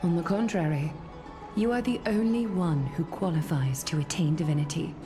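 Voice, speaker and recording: A woman playing a solemn, regal leader, speaking in a measured, formal, composed way in scripted fantasy game dialogue. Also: on the low side, music in the background, lightly acted. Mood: grave.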